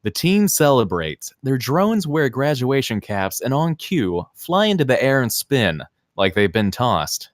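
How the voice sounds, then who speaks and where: A man's voice: medium pitch, big pitch swings, unhurried, hardly any pauses, no room sound, clean studio sound. A man narrating scene descriptions and stage directions at a screenplay table read.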